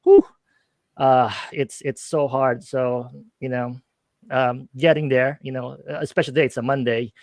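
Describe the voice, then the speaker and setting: A man's voice: medium pitch, fairly even intonation, moderate pace, darkish tone, dry recording. A middle-aged man with a Filipino accent, co-hosting a casual streamed talk show in an upbeat manner with frequent fillers. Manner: upbeat, hesitant with many fillers. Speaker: podcast co-host. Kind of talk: casual chat.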